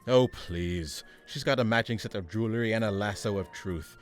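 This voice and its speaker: A man's voice: lowish voice, moderate pace, faint music behind, close to an everyday voice. A man playing a pompous, exasperated supervillain chairing a meeting in a comedic radio play, haughty and sarcastic.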